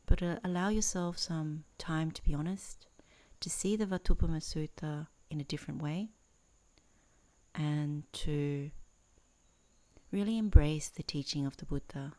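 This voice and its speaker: A woman teaching in a guided meditation, speaking in measured, earnest phrases with pauses between them. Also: low-pitched, darkish tone. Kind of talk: guided meditation introduction, Dhamma talk.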